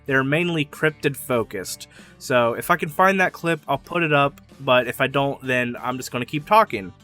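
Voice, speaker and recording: A young man hosting his own channel, rambling enthusiastically through fan theories in casual solo talk, laughing now and then and getting a little hoarse. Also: mid-range voice, normal speed, pushed and bright, soft background music. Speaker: YouTube theory channel host.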